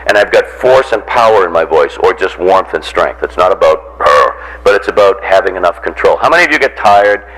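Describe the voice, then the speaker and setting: Voice coach, American male, lowish pitch, informal and joking as he instructs a group. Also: fairly quick, poor audio. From Randolph, near Boston, United States. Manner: jocular, animated teacher who acts out examples and puts questions to listeners. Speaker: voice coach giving a workshop.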